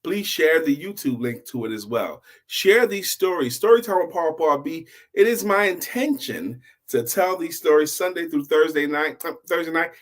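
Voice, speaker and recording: A man hosting a story show, telling a motivational tale in a deliberate, emphatic way with repeated phrases. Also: a little high, animated. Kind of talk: motivational oral storytelling to online viewers.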